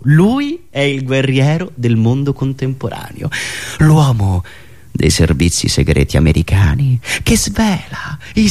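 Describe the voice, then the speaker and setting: High-pitched, theatrical man delivering satire. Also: a little mellow. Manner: emphatic, ironic, dramatic storytelling with pointed pauses. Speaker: radio show host and satirical monologist. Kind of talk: theatrical satirical political monologue.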